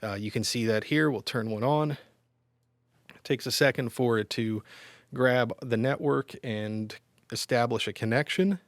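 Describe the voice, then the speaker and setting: Male gear reviewer, middle-pitched, informal and explanatory, recorded dry. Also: measured pace, dry recording. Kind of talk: semi-scripted product review narration.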